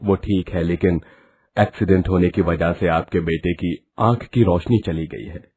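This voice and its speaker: A man voicing a grave, middle-aged male doctor in an animated story, speaking slowly and solemnly. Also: low-pitched, slightly rough, voice pushed down.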